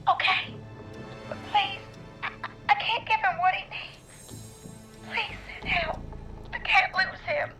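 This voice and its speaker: A woman playing a frightened, pleading young caller in a video game, distraught and halting, over a telephone. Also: very high-pitched, pushed and bright, soft background music, full character voice. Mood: panicked, pleading. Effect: telephone line.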